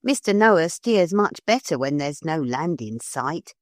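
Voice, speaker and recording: A woman's voice: sits low, expressive, unhurried, dry recording, studio quality. A woman voicing a cheerful young boy who answers in an easygoing, reassuring way.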